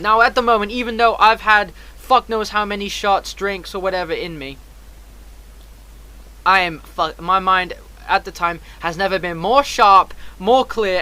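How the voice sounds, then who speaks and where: A man's voice: sits high, pushed and bright. A man casually telling a personal story as a streamer, in an emphatic, slangy, heavily profane way.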